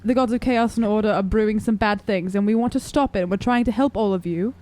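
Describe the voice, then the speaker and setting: A woman's voice: mid-range voice, a little fast, a little mellow. A woman playing a tabletop role-playing game, speaking casually and conversationally. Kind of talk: casual chat during game play. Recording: faint background noise.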